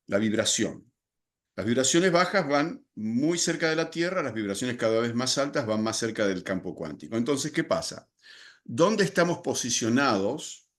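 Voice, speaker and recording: Older Argentine man, podcast guest, reflective and explanatory delivery with frequent restarts. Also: mid-range voice. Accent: Argentine Spanish. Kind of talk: podcast interview.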